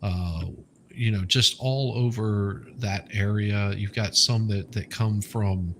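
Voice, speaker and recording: A man with a Southern accent in casual chat, halting and repeating words as he starts his sentences. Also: lowish voice, steady tone, slight background noise.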